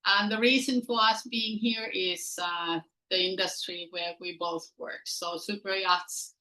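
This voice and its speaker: Bright-voiced Finnish woman presenting. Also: medium pitch, fairly even intonation, measured pace, low-quality recording. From Finland. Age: middle-aged. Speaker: guest presenter, company founder. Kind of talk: informal webinar presentation. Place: online webinar.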